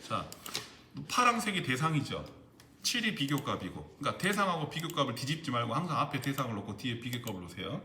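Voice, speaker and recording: Male instructor, exam-prep lecture, animated up-and-down delivery, constant comprehension checks, echoey room, audible background noise. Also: mid-range voice, fast. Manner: explains step by step, keeps checking understanding with questions. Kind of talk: recorded lecture on spreadsheet formulas.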